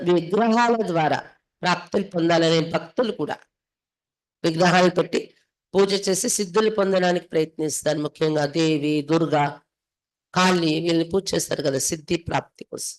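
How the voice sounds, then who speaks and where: A woman's voice: lowish voice, steady tone, fairly quick, low-quality recording. A woman from India, a spiritual teacher reading and explaining devotional text in an instructive way, repeating for emphasis. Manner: instructive, with emphatic repetition and rhetorical questions.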